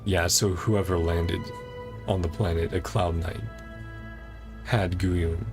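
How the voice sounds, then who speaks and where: A man gives casual gameplay commentary in a low, creaky voice. He muses and reads on-screen text in loose fragments. Game music plays clearly behind him. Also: steady tone, slow. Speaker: streamer playing a video game. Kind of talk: casual gameplay commentary, reading subtitles aloud.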